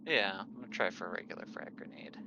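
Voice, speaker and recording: A man's voice: deep voice, quick pace, creaky, pushed and bright. A man talking casually as a player in a tabletop game, on a lo-fi mic. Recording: dull lo-fi mic, faint noise.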